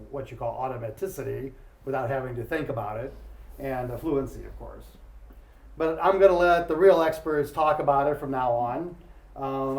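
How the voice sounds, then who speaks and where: A man's voice: mid-range voice, darkish tone. A man from Ohio, the embassy's commercial counselor, giving an informal welcome speech with plenty of "um" and small jokes. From Ohio, US Midwest. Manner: informal, many fillers, self-deprecating jokes. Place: embassy event room. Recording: echoey room.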